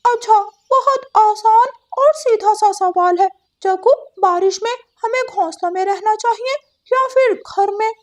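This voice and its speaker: A woman voicing a cheery parrot schoolteacher with a squeaky, encouraging voice in a cartoon. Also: measured pace, few pauses. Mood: cheerful. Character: cheery parrot schoolteacher praising little birds.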